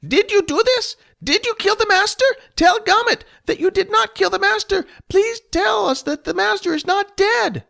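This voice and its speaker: A man voicing an anxious male goblin leader who talks about himself in the third person, shrill and insistent. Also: animated, moderate pace, creaky, hardly any pauses, sharp tone, exaggerated voice. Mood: shrill alarmed.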